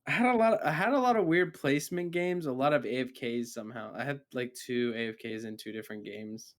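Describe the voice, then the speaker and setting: A man's voice: mid-range voice, brisk. Rambling young man podcasting. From Canada. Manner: rambling, many fillers and restarts. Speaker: podcast co-host. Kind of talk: casual gaming chat.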